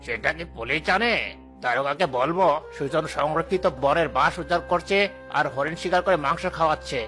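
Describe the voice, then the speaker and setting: A man's voice: fairly high pitch, a little fast, pushed and bright, faint music behind. A man playing a jealous, scheming village moneylender in a cartoon, with a menacing, boastful, commanding manner. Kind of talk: animated cartoon dialogue.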